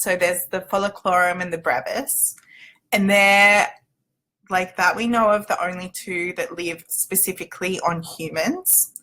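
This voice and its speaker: Bright-voiced Australian woman chatting informally. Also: medium pitch, rough recording. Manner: chatty, loosely structured, casual. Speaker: company representative hosting a livestream Q&A. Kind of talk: informal livestream explainer.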